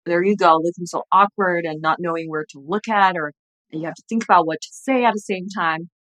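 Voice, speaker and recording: A woman in her thirties speaks English with a Chinese accent, her voice sitting fairly low. She talks earnestly and reflectively in a casual interview, often restarting her sentences. The sound is dry and close, picked up by a webcam at home. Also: fairly quick, a bit bright, no echo, low-quality recording. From China. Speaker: guest, entrepreneur and former TV journalist. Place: home room, indoors. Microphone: video call, webcam at desk distance.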